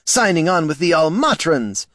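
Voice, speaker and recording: A shrill, pushy old elf agent. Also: male voice, big pitch swings, a bit bright, dry recording, clean studio sound. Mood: scolding. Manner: fast, fussy, scolding. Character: heavy older male elf agent, pushy, exasperated.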